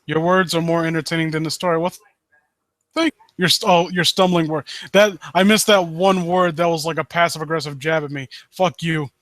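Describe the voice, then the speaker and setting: Sarcastic man with a bright, forceful voice. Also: a little high, fairly even intonation, moderate pace, no echo. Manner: sarcastic, mocking, sweary. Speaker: host reading and critiquing horror stories live. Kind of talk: casual riffing commentary with read-aloud passages.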